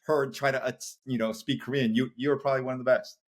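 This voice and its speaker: A man's voice: medium pitch, steady tone, quick pace. A man in his thirties hosting an interview, casual and affirming, with many fillers. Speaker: podcast host, doctor.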